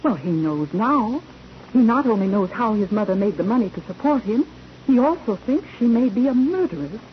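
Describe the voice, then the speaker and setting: A woman's voice: on the high side, sing-song, moderate pace. An actress playing an earnest, well-bred officer's wife in a 1940s radio drama, formal and emphatic as she pleads. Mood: urgent pleading. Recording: muffled, faintly noisy old radio recording.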